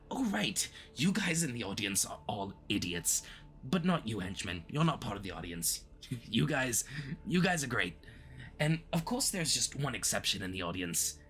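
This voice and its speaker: A man's voice: mid-range voice, a bit bright. Man playing a flirty, swooping, obsessive supervillain. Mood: smug, playful. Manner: theatrical, awkward stammering slips. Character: flirty, smug yet flustered obsessive male supervillain. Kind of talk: ASMR roleplay monologue addressed to the listener.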